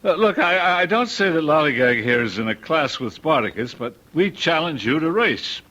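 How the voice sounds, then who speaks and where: An actor playing a kindly, protective uncle in an old-time radio drama, wry and given to awkward stammering. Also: male voice, on the high side, lively intonation, normal speed, bright, forceful tone. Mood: wry, teasing. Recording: dull vintage radio drama recording.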